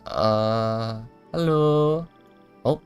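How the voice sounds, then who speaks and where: A man's voice: mid-range voice, extremely slow, clean tone, lo-fi sound, faint music behind. A man giving casual, playful, bemused livestream commentary as he plays a game. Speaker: game streamer.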